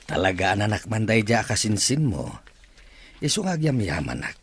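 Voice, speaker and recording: A man with a Filipino accent and a rough, husky voice acting an affectionate older father in a radio drama. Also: lowish voice, very animated, fairly quick. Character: proud, affectionate older father of college student.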